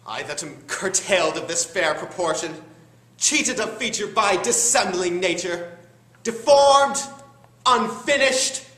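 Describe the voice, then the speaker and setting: A teenage male student performing a bitter, deformed, scheming duke in a theatrical, deliberate Shakespearean stage monologue. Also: on the high side, big pitch swings, pushed and bright, full character voice. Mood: bitter, anguished. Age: teens. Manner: theatrical, deliberate, dramatic pauses. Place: high school classroom. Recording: roomy classroom recording, faint background noise.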